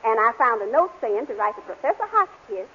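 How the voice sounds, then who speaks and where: Sweet radio-comedy wife, high husky voice, gentle folksy delivery, muffled vintage recording. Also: female voice, sing-song, a little fast, heavily acted. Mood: sweet, confiding. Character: sweetly conciliatory wife of the schemer. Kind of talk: 1940s-50s radio comedy acting.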